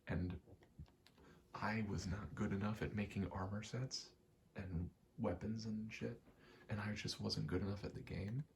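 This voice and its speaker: A soft-spoken man giving rambling ASMR game commentary, halting and casually profane, often slipping into a whisper. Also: lowish voice, steady tone, vocal fry, slight background noise. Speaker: gaming video creator.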